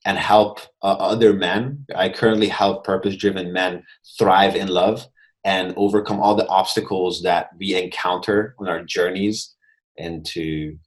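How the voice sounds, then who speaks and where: Guest coach, male, 20s, Hungarian-born, non-native English, slightly low pitch, thoughtful, video call sound. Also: fairly even intonation, unhurried, low-quality recording. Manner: earnest, reflective, frequent restarts. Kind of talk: casual interview chat. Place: home room, video call.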